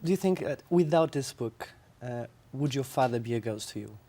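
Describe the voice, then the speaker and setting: A man whose first language is Portuguese asks questions in English as a television interviewer. He phrases his questions haltingly, with fillers and pauses. His voice sits at an ordinary middle pitch for a man. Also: animated, warm tone.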